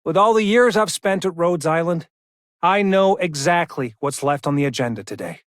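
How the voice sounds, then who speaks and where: Man as a higher-voiced, cheerful comrade. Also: expressive, normal speed, no echo, studio quality, close to an everyday voice. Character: loyal, sociable young longtime comrade. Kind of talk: scripted game voice lines.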